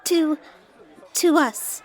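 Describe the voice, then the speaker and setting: A woman voicing a nervous, stammering, lovestruck female monster in a squeaky story-reading voice. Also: some chatter behind. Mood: flustered. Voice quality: high, squeaky. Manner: stammering, halting, anxious. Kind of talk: dramatic fan fiction reading.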